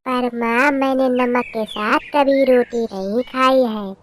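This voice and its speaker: A woman voicing a gentle, worried female bird in a cartoon, politely and plaintively. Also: on the high side, fairly even intonation, takes it slowly. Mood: meek, pleading. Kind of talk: Hindi cartoon dubbing. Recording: noisy track with birds chirping.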